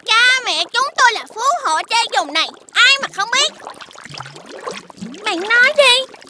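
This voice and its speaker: A performer voicing a cheeky, proud young tadpole child in an animated fairy tale. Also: very high-pitched, measured pace, very noisy. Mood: boastful, cheeky.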